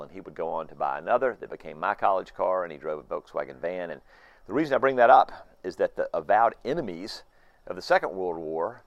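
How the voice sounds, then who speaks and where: A man in his late fifties, a pastor, giving an informal, earnest sermon from his screened porch, his voice hoarse. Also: low voice, no room sound. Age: 50s. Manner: earnest, reflective, with frequent restarts.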